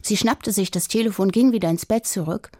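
Casting: female audiobook narrator, soft dark husky voice, expressive storytelling for children, dry close recording. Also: medium pitch, a little fast, no echo.

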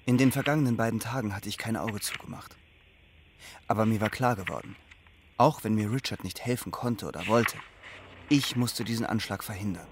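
An actor playing a bitter, desperate Cold War double agent who narrates and speaks in a radio crime drama. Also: male voice, on the low side, brisk, a little hiss or hum, lightly acted. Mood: grim narration. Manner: urgent, bitter, world-weary. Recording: music behind the voice.

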